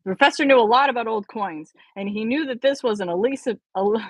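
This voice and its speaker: A woman's voice: on the high side, a little fast, husky, dry recording. A woman reading a story aloud expressively as an amateur audiobook reader.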